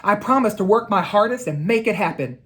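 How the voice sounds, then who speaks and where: A woman's voice: mid-range voice, brisk, pitched above the performer's own voice, lightly acted. A woman reading a children's storybook, voicing an eager, earnest young boy with big dreams. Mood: determined. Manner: earnest, excitable. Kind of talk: children's storybook read-aloud.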